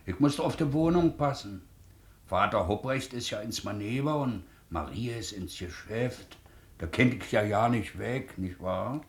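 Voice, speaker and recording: An actor playing a kindly, weary middle-aged ex-convict shoemaker, gentle and colloquial, in a 1950s radio play. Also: male voice, lowish voice, expressive, a little slow. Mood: gentle, reassuring. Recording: 1950s radio drama recording.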